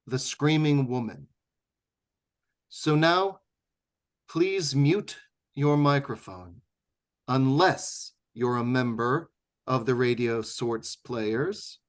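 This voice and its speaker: A man hosting a live radio show, giving a scripted introduction in a dramatic, deliberate old-time radio manner. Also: on the high side. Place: remote live performance over video call.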